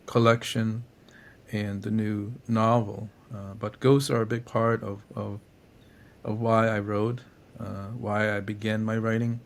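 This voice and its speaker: A middle-aged man from Cambodia, a writer answering interview questions in a reflective, halting way with many fillers and restarts. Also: fairly low pitch, gravelly. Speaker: poet and novelist guest.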